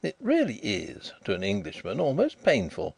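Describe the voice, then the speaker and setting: A man voicing a composed gentleman detective who speaks in a measured, detached way. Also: lowish voice, wildly swooping pitch, vocal fry, noisy background, full character voice.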